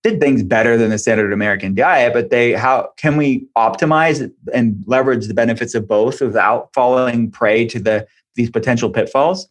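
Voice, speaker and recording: A man's voice: medium pitch, normal speed, few pauses. A man speaking as an expert guest in an interview, explaining health advice informally and conversationally. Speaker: expert guest, functional medicine practitioner and author.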